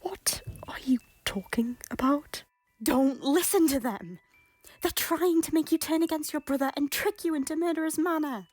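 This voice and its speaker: A woman voicing a guilt-ridden former queen who pleads in breathy, often whispered lines. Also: sits high, normal speed, darkish tone, no echo, soft background music, lightly acted. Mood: pleading. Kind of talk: fan audio drama acting.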